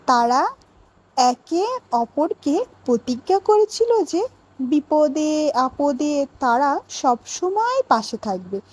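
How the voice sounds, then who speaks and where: A woman storyteller with a high, lively voice that swings in pitch. She takes her time, phrasing each line deliberately like a teacher addressing young pupils. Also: poor audio. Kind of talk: scripted children's story narration and classroom questioning.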